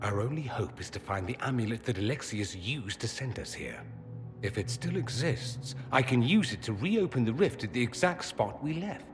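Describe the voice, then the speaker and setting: A man's voice: mid-range voice, lively intonation, normal speed, over music, close to an everyday voice. A man playing an urbane, sardonic mage in a fantasy game, wry and articulate. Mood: earnest. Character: urbane, sardonic male mage, quick-witted and theatrical. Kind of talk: dramatic fantasy game dialogue. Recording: reverberant hall, noisy game ambience.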